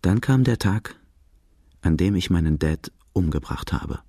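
A man's voice: sits low, expressive, a little slow, creaky, warm tone, husky, no echo, clean studio sound, exaggerated voice. An actor playing a brooding outlaw narrating his boyhood in a German radio drama, grave and confessional.

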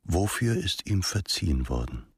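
An actor playing a probing, sceptical man in a radio drama, asking insistently in a husky, breathy voice. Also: deep voice, sing-song, normal speed, no room sound, studio quality, full character voice. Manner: insistent questioning. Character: probing, sceptical male questioner. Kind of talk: radio drama monologue of questions.

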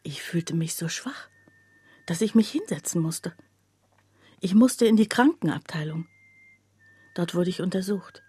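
An actress playing a troubled factory worker, telling her story in a soft, husky, halting and confiding radio drama monologue. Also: lowish voice, animated, exaggerated voice. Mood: subdued, confiding. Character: troubled female factory worker recounting her life, bewildered, plainspoken.

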